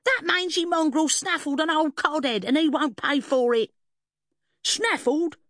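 A woman's voice: high-pitched, unhurried, a bit bright, dry recording, studio quality, voice pushed up. A woman voicing an indignant working-class English fishwife, shrieking and howling in an audiobook story. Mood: outraged.

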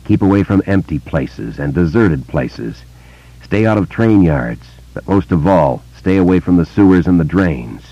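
A man voicing a grave, quiet grown man who speaks softly and stutters. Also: sits low, expressive, dry recording. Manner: soft, measured, stuttering. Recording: dull lo-fi dry recording.

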